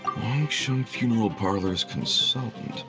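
A man voicing a gruff, hearty and boastful older mining foreman in a video game. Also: fairly low pitch, unhurried, over music. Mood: impressed.

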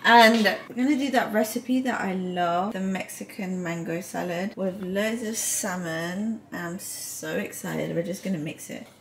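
A woman's voice: on the low side, unhurried, creaky, hardly any pauses, background noise. A young woman from Colombia chatting casually to the camera in a vlog, bubbly and laughing often, filmed at close range indoors at home. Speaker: vlogger. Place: bright home bedroom indoors.

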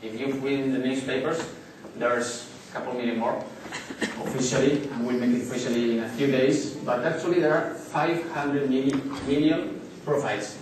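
A man in his thirties with a Spanish accent, giving a business presentation at a conference in English, pausing often with fillers and restarts. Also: mid-range voice, fairly even intonation, noisy background. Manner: halting, many fillers and restarts. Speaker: conference speaker. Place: large hotel conference hall. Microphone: far camera in the audience. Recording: echoey hall recorded from far off.

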